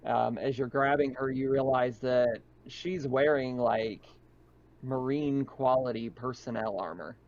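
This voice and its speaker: A man's voice: medium pitch, measured pace, a little mellow, dull audio. A man running a tabletop roleplaying game as game master, narrating to players in second person in a conversational way.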